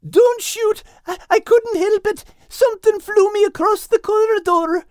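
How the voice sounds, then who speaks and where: A nervous, cowardly animal fellow in a children's story, put on by a woman. The voice shoots up very high and comes out loud and jittery. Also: a little slow, dry recording, clean studio sound. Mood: panicked. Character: nervous, cowardly, whimpering male cartoonish animal.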